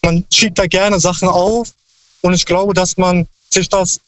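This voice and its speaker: Guy calling into a radio show, pretty high voice, long earnest ramble, dry sound. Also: level tone, unhurried, no room sound, poor audio. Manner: earnest, wordy, lecturing in long sentences. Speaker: caller. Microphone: phone line.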